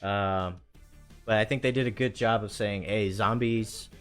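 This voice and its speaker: A man's voice: fairly low pitch, steady tone, a little slow. A man hosting a live stream in casual chat, enthusiastic and slangy, with music behind him.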